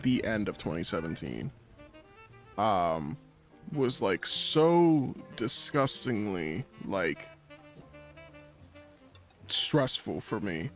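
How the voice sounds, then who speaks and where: A man recounting personal experiences casually, in halting, emphatic slang. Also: lowish voice. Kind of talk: casual chat, personal recollection.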